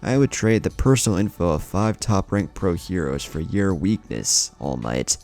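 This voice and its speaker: Cocky villain gang leader, acted by a male narrator, slangy, creaky, lively delivery. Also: sits low, moderate pace, soft background music. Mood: explaining, frustrated.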